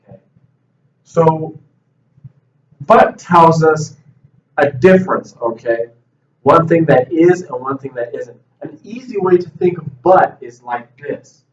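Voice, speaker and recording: A man teaching English grammar at a whiteboard, explaining slowly and patiently with frequent checks of "okay". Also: a little high. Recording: roomy, distant, dull classroom audio.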